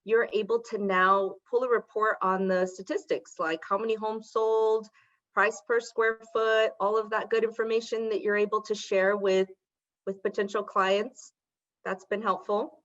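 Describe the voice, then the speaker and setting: A woman giving a committee report and reading out figures at a virtual meeting, in a cheerful, steady way. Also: medium pitch, measured pace, lo-fi sound.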